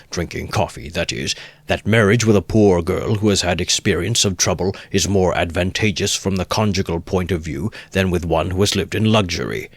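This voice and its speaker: A man's voice: sits low, breathy edge, dry recording. A man voicing a pompous, easily offended middle-aged suitor who speaks in a stiff, sententious way. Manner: sententious, aggrieved and stiffly formal. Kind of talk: audiobook character voice.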